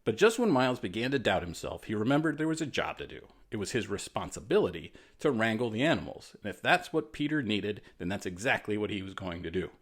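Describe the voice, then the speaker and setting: Male storyteller for kids, friendly and encouraging, highly varied pitch, relaxed asides. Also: quick pace, few pauses. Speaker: writer reading his children's story. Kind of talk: animated storytime reading with casual asides.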